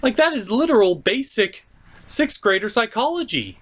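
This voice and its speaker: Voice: mid-range voice, very animated, moderate pace, fairly bright tone. A reviewer giving a scripted comedic movie recap in a sarcastic, mock-exasperated way. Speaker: host reviewer.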